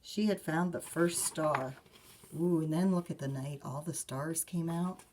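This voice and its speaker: A woman librarian reading a picture book aloud to children, expressive and chatting directly to her young listeners. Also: sits low, unhurried, a little hiss or hum. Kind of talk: read-aloud storytime with chatty asides.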